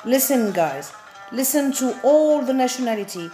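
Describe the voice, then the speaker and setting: A woman's voice: high voice, expressive, normal speed, dark tone. Enthusiastic woman host, echoey room. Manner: enthusiastic, addresses viewers as guys. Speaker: show host. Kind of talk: enthusiastic show hosting. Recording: echoey room with faint music.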